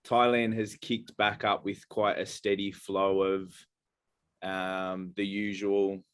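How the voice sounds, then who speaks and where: A man co-hosting a casual podcast, telling stories in a rambling, halting way with many fillers. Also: deep voice, little pitch movement, clear, resonant tone. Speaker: podcast co-host. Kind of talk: casual chat.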